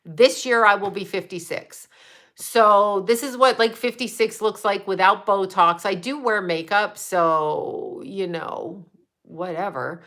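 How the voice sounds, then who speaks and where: A woman's voice: mid-range voice, slow, a bit bright. A woman in her fifties, hosting a casual livestream, chatting bluntly and profanely while answering viewer comments. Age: 50s. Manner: blunt and profane, trash-talking trolls between chatty tips. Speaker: livestream host.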